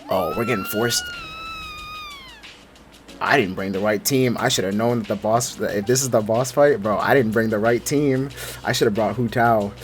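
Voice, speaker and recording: A man's voice: medium pitch, huge pitch swings, normal speed. A young man giving casual, slangy, reactive commentary on a game he is playing, with some swearing. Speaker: gaming streamer. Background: game music.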